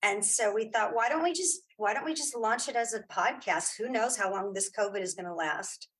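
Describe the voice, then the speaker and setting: A woman's voice: medium pitch, normal speed, fairly bright tone, slightly rough. A woman speaking as a guest in a casual interview, chatty and informal, often restarting her sentences. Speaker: interview guest, audio drama creator.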